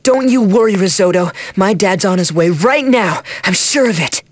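Casting: plucky, well-to-do game child an actor's performance, mid pitch, dry sound, assured and hopeful. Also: animated, moderate pace, no echo, close to an everyday voice. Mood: confident, reassuring. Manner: assured, reassuring.

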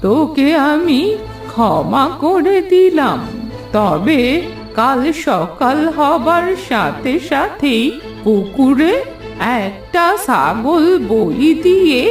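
Vengeful ancient female water spirit, cartoon fairy tale; drawn-out menacing delivery, swooping intonation, music underneath. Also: high voice, reverberant, far from the mic, heavily acted. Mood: cold, commanding. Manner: slow, menacing, accusing. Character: vengeful two-hundred-year-old female pond spirit.

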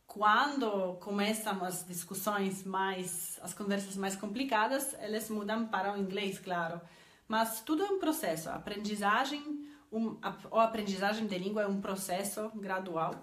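A woman's voice: medium pitch, a bit bright, reverberant. A woman in her thirties from Poland, speaking with a Polish accent and teaching languages, talking in a casual vlog with many hesitant "eh" fillers and repeated words, filmed on a phone in a small indoor room.

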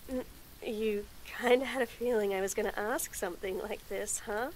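A woman voicing a shy young tomboy friend, speaking softly and stammering through a nervous confession in a scripted audio roleplay. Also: medium pitch, a little hiss or hum, natural voice, rough recording. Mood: relieved. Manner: stammering, halting, soft. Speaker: voice actress.

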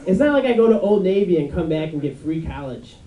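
A young man with a high, unmasculine voice doing a rambling stand-up set on a club stage, with many fillers and restarts. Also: big pitch swings, quick pace, warm tone. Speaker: stand-up comedian. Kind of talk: stand-up routine. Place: dark club stage before a live audience. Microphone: handheld mic on a stand. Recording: echoey room, mic from far off.

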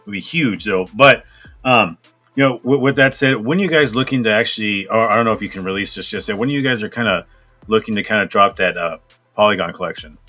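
Podcast host, man on a headset, laid-back and flat delivery, bright tone. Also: on the low side, fast talker, soft background music. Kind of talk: casual interview hosting. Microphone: headset on video call.

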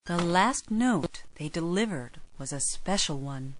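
A woman's voice: fairly low pitch, lively intonation, measured pace, a little hiss or hum, low-quality recording. A woman reading scripted narration for a children's storybook as its narrator.

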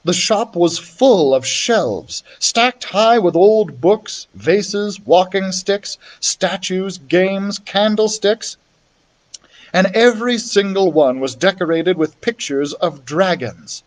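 A man's voice: high voice, slow. A man reading a children's story aloud expressively, as a library storyteller would.

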